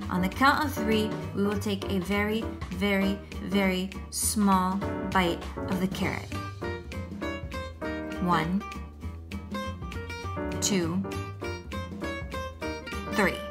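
A woman voicing a boastful, sweet little French snail in a picture book, theatrical and deliberate, talking straight to a child. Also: mid-range voice, steady tone, music in the background. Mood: coaxing. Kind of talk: picture book read-aloud.

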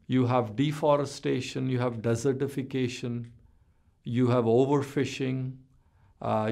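A man in his sixties delivers a recorded business lecture, his voice gravelly and sitting at an ordinary middle pitch. He explains at a measured pace, letting the ends of his phrases drop away. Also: darkish tone. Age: 60s. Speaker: business professor. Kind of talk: recorded lecture over slides.

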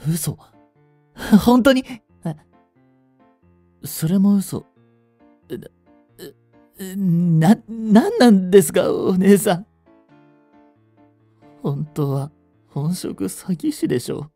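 Casting: male actor for lovestruck boyish con artist, romantic audio drama. Mellow, lightly high voice, polite yet easily flustered. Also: sing-song, extremely slow, long pauses, no room sound, soft background music, exaggerated voice. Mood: dejected, confused. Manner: polite speech, stammering when flustered. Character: young male genius swindler, lovestruck, jealous, boyishly flustered. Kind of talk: romantic audio drama acting, addressed to the listener.

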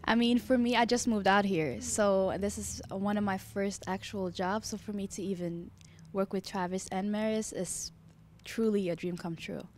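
A young woman from Norway, a dancer whose first language is Norwegian, gushing excitedly in an interview into a handheld mic in an indoor lounge. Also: mid-range voice, normal speed, slight background noise. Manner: excited, gushing, laughing often. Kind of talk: interview answers. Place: indoor lounge at a rehearsal studio. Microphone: handheld mic held by interviewer.

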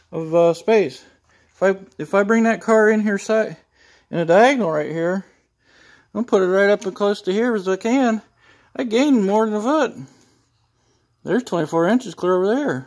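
Casual vlogger, male, higher-pitched voice, folksy and rambling, talking to a handheld phone in a garage. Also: a little mellow. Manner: folksy, rambling, homespun phrases like "looky here". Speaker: YouTube vlogger. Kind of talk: casual walk-through vlog. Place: garage and home interior. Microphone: handheld phone camera, filming himself.

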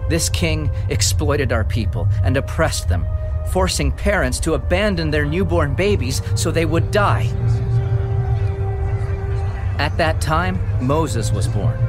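Impassioned, high-pitched preacher over music. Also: male voice. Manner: solemn, declamatory storytelling. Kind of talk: dramatized scripture reading, oratorical. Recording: studio reading over prominent background music.

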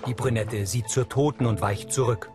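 A man's voice: medium pitch, steady tone, normal speed. A man reading scripted narration as the audio describer of a TV episode. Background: soundtrack music.

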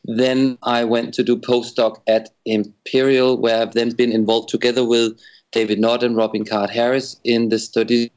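A man from Denmark, a psychiatrist and researcher, explaining his background in an interview in a measured way with some restarts. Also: medium pitch, flat delivery, darkish tone, highs cut off. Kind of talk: interview answer.

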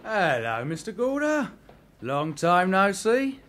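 A man as a knowing underworld garage boss in a radio drama. His fairly high voice has dry wit and very lively intonation. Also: takes it slowly, a little hiss or hum, exaggerated voice. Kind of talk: vintage radio drama acting.

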